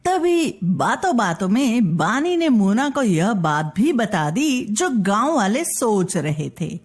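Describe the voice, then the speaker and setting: A woman narrates a children's fairy tale as a storyteller. She takes her time over the scripted lines. Her pitch moves up and down expressively. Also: sing-song, slow, slightly rough.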